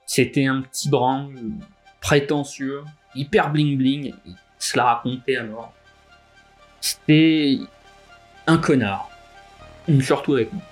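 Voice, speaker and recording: A naive young crook in his twenties played by a man, with a mid-pitched voice over faint music. He talks nervously and informally, halting as he recounts things in an audio drama. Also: close to an everyday voice. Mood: hesitant, confiding. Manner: hesitant, informal, stammering. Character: nervous, hesitant young male robbery suspect, naive rookie.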